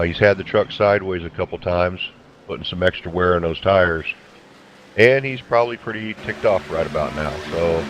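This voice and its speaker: A man working as a race color commentator, explaining things in a conversational way during live coverage. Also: sits low. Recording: clear droning engine noise behind.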